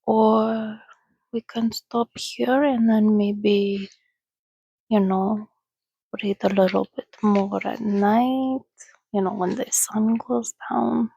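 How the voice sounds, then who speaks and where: A woman speaking in a hushed, soothing, often whispered ASMR style, as if calming a companion. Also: mid-range voice, slow pace, creaky, breathy edge, no echo, poor audio. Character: calm, sociable female vampire soothing a companion. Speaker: ASMR host. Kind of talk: soft-spoken ASMR reading and roleplay.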